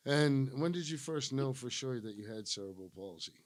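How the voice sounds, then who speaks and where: A man's voice: mid-range voice, expressive, brisk. A male stand-up comedian from Rhode Island, a guest on a podcast, teasing his host and correcting his words. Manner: pedantic, teasing. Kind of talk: casual podcast banter. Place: studio.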